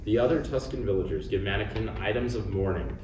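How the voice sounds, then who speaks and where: A man's voice: lowish voice, fast, darkish tone. A man reading stage directions in scripted narration at a table read. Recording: roomy, distant, clearly noisy recording.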